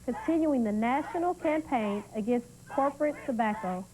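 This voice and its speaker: A woman's voice: fairly high pitch, lively intonation, normal speed, crowd noise, rough recording. A woman reading scripted narration for a television feature. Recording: loud music under narration. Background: loud music.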